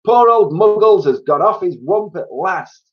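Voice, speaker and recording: Man doing a high-pitched, fussy plump bird. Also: animated, a little slow, husky, muffled recording, full character voice. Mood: mocking. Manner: fussy, protesting, exclamatory. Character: plump, squeamish, indignant bird. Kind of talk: children's storybook reading.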